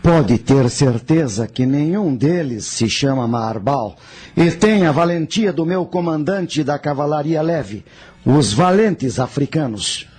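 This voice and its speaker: A man's voice: a little high, lively intonation, takes it slowly, a little mellow, breathy edge, exaggerated voice. A man playing a proud ancient general who recounts battle in a solemn, formal way in a radio drama. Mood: proud, assured.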